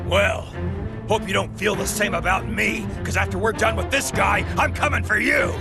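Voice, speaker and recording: A man's voice: high voice, moderate pace, creaky. An actor voicing a cocky, hot-headed, boastful alien warrior man who is easily riled, in an English anime game dub. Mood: smug, gloating. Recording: loud music over the voice.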